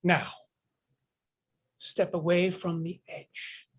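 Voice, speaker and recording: A man's voice: sits high, level tone, drawn-out words, husky, heavily acted. A man playing a coldly courteous, menacing military captain in a stage play reading, pompous and mock-polite.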